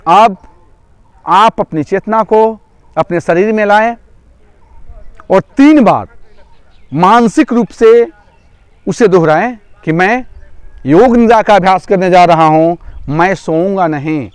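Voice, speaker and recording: Casting: male yoga nidra instructor, high smooth voice, repetitive step-by-step guidance, dry close sound. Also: slow, pauses often, no room sound. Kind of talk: guided relaxation instruction. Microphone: microphone through a loudspeaker.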